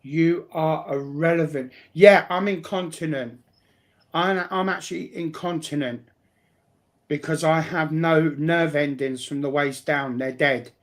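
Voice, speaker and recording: A man's voice: fairly high pitch, unhurried. A blunt, sweary man chatting casually as a guest on a livestream.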